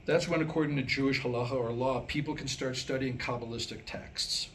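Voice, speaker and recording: A mature man delivering a scripted comic talk to a live audience, telling stories and jokes with deliberate pauses. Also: medium pitch, fairly even intonation, a bit bright, a little hiss or hum. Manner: storytelling, deliberate pauses, acting out dialogue in jokes. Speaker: humorist and author. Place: indoor room, live staged reading.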